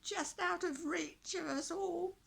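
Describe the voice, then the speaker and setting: A woman voicing a croaky old village man, imprisoned, pleading high and thin. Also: slow, a bit bright, full character voice. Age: older.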